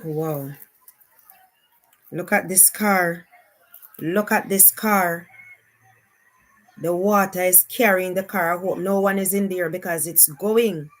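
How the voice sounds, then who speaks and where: Jamaican woman, live stream host. Lowish voice, very slow, casual commentary with repeated exclamations. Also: fairly even intonation. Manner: unhurried, repetitive exclamations.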